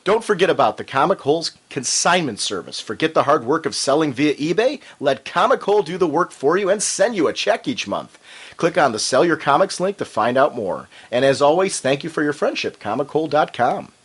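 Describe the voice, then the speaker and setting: A man's voice: a little high, sing-song, a little fast, fairly bright tone, breathy edge. A man co-hosting a comics podcast, talking casually and reading shop promotions, slightly nasal from a cold. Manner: enthusiastic, chatty. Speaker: podcast co-host. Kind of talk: casual chat and ad reads.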